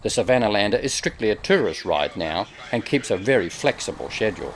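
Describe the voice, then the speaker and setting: A man's voice: fairly low pitch, very animated, brisk, slight background noise. A man narrating a travel documentary in a scripted way, with wry asides. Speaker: travel show host and narrator.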